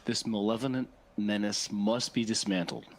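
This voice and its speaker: A man's voice: fairly low pitch, steady tone, normal speed, a little hiss or hum, lightly acted. A man playing a melodramatic, righteous French sidekick who speaks in grandiose rants in a comedic audio drama. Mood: melodramatic outrage. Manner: grandiose, alliterative ranting.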